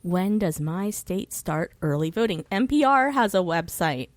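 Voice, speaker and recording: A woman's voice: lowish voice, expressive, unhurried, no room sound. An American woman talks casually as a guest on a podcast. Her manner is informal and wry, with plenty of swearing and asides. The sound is very dry, with hardly any room in it. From United States. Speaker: podcast guest who hosts her own show.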